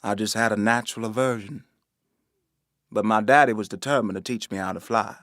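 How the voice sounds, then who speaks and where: An actor playing a wistful young man, telling memories to the audience in a reflective theatrical monologue with dramatic pauses. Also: on the low side, a bit bright, no room sound, studio quality, close to an everyday voice. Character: wistful young man remembering his flying family.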